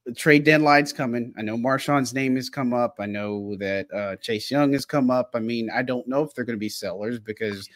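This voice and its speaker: A man's voice: medium pitch, steady tone, moderate pace, few pauses, a bit bright, no echo. A man co-hosting a sports podcast, talking in a frustrated, rambling way with many fillers. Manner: frustrated, rambling, heavy on fillers like "I mean" and "look". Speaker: podcast co-host. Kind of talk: sports analysis chat.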